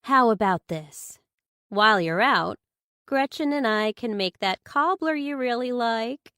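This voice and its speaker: A woman voicing a kind middle-aged mother in an audiobook, gentle, reassuring and persuasive. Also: fairly high pitch, expressive, a little slow, no echo, studio quality, voice pushed up, exaggerated voice. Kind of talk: audiobook character dialogue.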